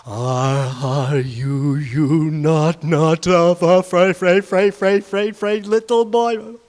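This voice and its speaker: A man voicing a menacing tall man with a glowing face, who taunts in slow, loud, drawn-out words. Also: a little high, fairly even intonation. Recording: reverberant hall.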